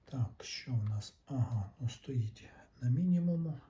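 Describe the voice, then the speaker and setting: A man with a medium-pitched voice talks slowly through a hands-on repair. He explains each step casually, as if thinking aloud. There is light background noise in the recording. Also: expressive, mellow. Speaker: electronics repair hobbyist narrating.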